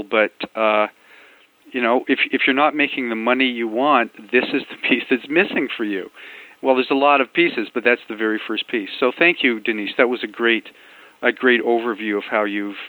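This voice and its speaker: A man hosting a coaching group call, encouraging and halting, with many fillers. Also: fairly low pitch, sharp tone, no room sound. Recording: dry, tinny phone line.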